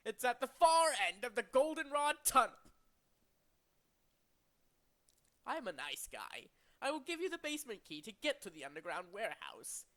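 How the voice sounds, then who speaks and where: A man's voice: very high-pitched, huge pitch swings, fairly quick, fairly bright tone, pitched above the performer's own voice, full character voice. A man voicing a smug, boastful male impostor villain from a video game, hammy and easily flustered. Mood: sly.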